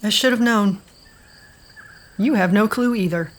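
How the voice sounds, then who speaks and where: A woman's voice: medium pitch, a little slow, close to an everyday voice. An actress playing a wary, bitter woman in an audio drama, speaking in a pointed, guarded way. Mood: suspicious.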